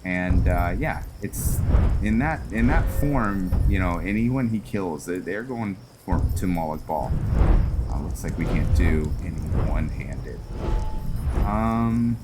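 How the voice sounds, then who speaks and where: A man giving casual roleplay gameplay commentary, thinking aloud in a halting way as he hosts. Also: sits low, animated. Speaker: gaming video host. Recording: music under the voice.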